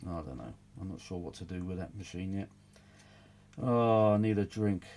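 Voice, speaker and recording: A British man with a fairly low, very mellow and relaxed voice talks off the cuff in a casual, rambling way. He sounds world-weary and grumbles as he goes, with the odd mild swear word. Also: moderate pace. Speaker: vintage audio repair hobbyist presenting his own videos. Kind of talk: casual rambling workbench chat.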